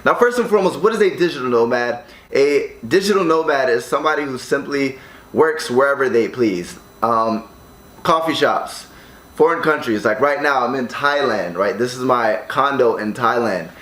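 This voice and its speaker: An American man speaking straight to his audience in an energetic, salesy way, often tagging his sentences with "right?", recorded in his condo. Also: medium pitch, moderate pace, roomy sound. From United States. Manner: energetic, upbeat, salesy, with frequent "right?" tags. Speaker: online business vlogger. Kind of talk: casual direct-to-camera vlog. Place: condo room.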